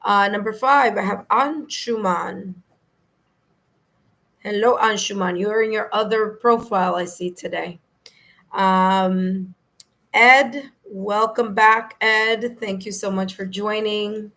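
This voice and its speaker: A woman with a New York accent and a slightly hoarse, raspy voice, hosting a live tarot stream in a friendly, chatty way. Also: medium pitch, fairly even intonation, slow, a bit bright. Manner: friendly, chatty, laughs easily. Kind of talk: casual live-stream chat, greeting viewers.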